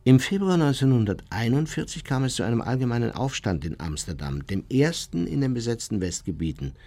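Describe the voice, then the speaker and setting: An actor playing an unrepentant Nazi war criminal recounting his memoirs in a measured, matter-of-fact way for a radio play. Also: medium pitch, sing-song, dark tone.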